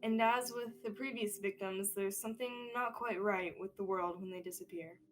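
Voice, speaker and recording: A woman's voice: mid-range voice, fairly even intonation, moderate pace, low-quality recording. A young woman reading scripted narration as the storyteller of a student radio drama. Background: soft music.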